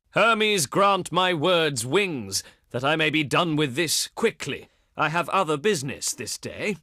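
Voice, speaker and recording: A man's voice: sits high, bright, forceful tone, dry recording, studio quality, heavily acted. A male actor voicing a haughty, eloquent ancient Greek diplomat in a video game, with formal, declamatory and pompous delivery. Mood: contemptuous, weary. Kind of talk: theatrical game character acting, formal archaic speech.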